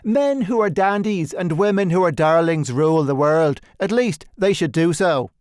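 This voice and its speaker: A man reading a storybook voices a brash, rough male painter with an emphatic, declaiming manner. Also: fairly high pitch, expressive, moderate pace, dry recording, studio quality, lightly acted. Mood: pompous.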